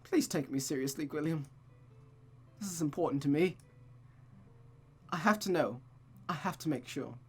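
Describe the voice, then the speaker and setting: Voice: mid-range voice, normal speed, pauses often, breathy edge, soft background music. A reader voicing an anxious, lovestruck young woman from a visual novel, earnest and faltering as they plead.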